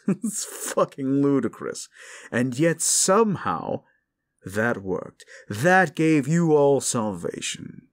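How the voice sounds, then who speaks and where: A man's voice: mid-range voice, sing-song, slow pace, voice pushed up. A man voicing a cruel, condescending demon in an intimate audio roleplay, taunting the listener with drawling sarcasm. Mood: scornful amusement. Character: condescending male demon, gleefully cruel and mocking.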